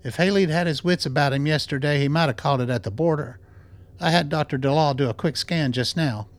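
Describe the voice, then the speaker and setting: An actor playing a composed, principled doctor in a radio drama, speaking in a measured, clinical, matter-of-fact way. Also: male voice, on the high side, no echo, close to an everyday voice. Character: composed, principled male doctor running a secret lab.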